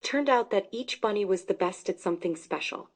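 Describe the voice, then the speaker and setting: A woman narrating a children's story about bunnies in a plain, even reading. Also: mid-range voice, fairly quick.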